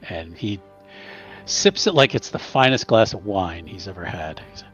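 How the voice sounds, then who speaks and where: A man's voice: low-pitched, expressive, normal speed, highs cut off, faint music behind. A man chatting casually as a player at a tabletop game, tentative and thinking aloud.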